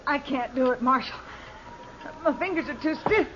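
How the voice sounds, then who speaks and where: A woman's voice: high-pitched, moderate pace, a crowd in the background, close to an everyday voice. An actress playing a tough, self-reliant frontier ranch woman in a radio western, terse and plain-spoken. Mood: shaken, strained. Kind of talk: 1950s radio western acting. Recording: dull old radio recording.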